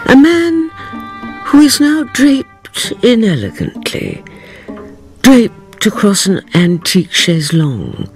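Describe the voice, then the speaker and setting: An actress playing a grave, knowing storyteller, narrating a radio drama with deliberate, theatrical phrasing. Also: female voice, mid-range voice, very animated, a little mellow, faint music behind.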